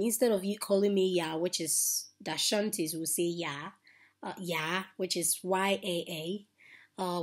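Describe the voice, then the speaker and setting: A young Ghanaian woman speaks to her webcam from a small indoor room. She takes her time, in a voice of middling pitch. The talk is casual and chatty, and now and then she drops to a soft aside. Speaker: YouTuber. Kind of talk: casual chat to camera.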